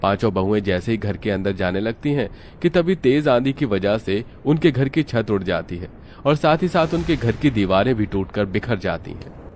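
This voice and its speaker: A man's voice: lowish voice, animated, normal speed, a little mellow, a little hiss or hum. A man narrating a scripted cartoon moral tale as its storyteller.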